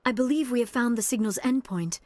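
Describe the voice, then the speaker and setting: Woman as a composed, high-voiced alien scholar. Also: darkish tone, soft background music, close to an everyday voice. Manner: measured, formal, articulate. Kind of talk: video game voice acting.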